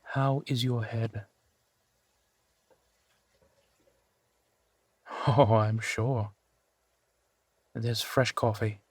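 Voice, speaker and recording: A man's voice: mid-range voice, fairly even intonation, takes it slowly, a little mellow, husky, no echo. A man voicing a suave, genteel vampire centuries old, speaking unhurriedly and formally to the listener in an intimate audio roleplay. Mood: courteous, hospitable. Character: suave male vampire, four centuries old, genteel, playfully amused host.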